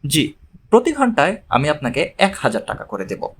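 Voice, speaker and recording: A man voicing a polite, self-assured male professor in an audiobook, measured and orderly in his speech. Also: a little high, huge pitch swings, fairly bright tone, husky, exaggerated voice. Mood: composed, polite. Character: formal, self-assured male mathematics professor seeking a consultation.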